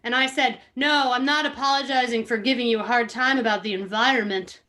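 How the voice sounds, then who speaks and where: A woman's voice: fairly high pitch, steady tone, a little fast, bright, forceful tone. A woman in casual podcast conversation, reading and retelling a book dramatically.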